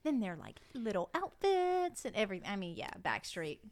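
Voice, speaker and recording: A woman's voice: medium pitch, sing-song, moderate pace. A Southern woman co-hosting a faith podcast. She asks the questions in a playful, giggly way. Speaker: podcast co-host. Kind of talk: casual chat, asking the questions.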